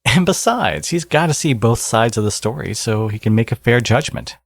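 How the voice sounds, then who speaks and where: A man playing a smug, teasing villain with a secretly caring side in an ASMR audio roleplay, speaking casually and sardonically with a husky voice. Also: medium pitch, lively intonation, normal speed, no echo. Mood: exasperated.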